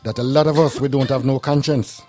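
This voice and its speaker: A man's voice: mid-range voice, steady tone, a little fast, mellow, soft background music. A man from Jamaica with a Jamaican accent, hosting a casual radio talk show in a loose, rambling way. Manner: laid-back, rambling, peppers speech with "you know". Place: radio studio.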